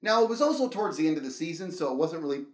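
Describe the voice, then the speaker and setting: Animated, higher-pitched man telling stories, roomy sound. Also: rapid-fire. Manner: animated, acts out dialogue and reactions. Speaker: storyteller and show host. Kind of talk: casual, rambling comedic storytelling.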